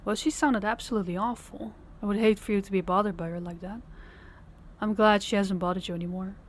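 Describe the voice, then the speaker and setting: A tender, tired young girlfriend in an audio roleplay, acted by a woman. She speaks softly and intimately at a middle pitch, often dropping close to a whisper. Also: fairly even intonation, a little fast, slight background noise, lightly acted. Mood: tired, affectionate. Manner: soft-spoken, intimate, often near a whisper. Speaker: voice actor.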